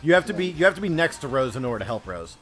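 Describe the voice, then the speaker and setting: A man's voice: medium pitch, sing-song, rapid-fire. A man speaking as game master in a live tabletop game, casual and exasperated, swearing as he banters. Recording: music behind the voice.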